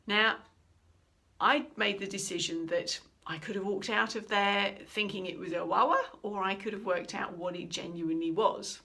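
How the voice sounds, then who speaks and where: Animated woman giving a communication talk. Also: on the low side. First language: English. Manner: animated storytelling, acting out quoted speech. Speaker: communication trainer. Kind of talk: instructional talk.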